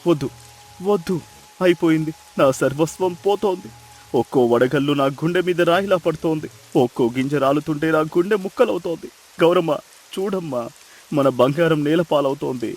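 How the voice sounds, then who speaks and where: A man's voice: on the high side, moderate pace, a little mellow, faint music behind. A man playing a humble, desperate poor farmer in a dramatized moral story, lamenting and pleading deferentially. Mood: anguished.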